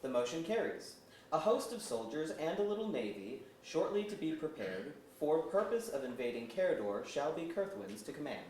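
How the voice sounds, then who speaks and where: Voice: mid-range voice, big pitch swings, moderate pace, a little mellow. A performer playing a stern, vengeful elf leader, declaiming formal archaic verse at a staged reading. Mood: formal, brisk. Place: museum auditorium. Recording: echoey auditorium, far off, faint noise.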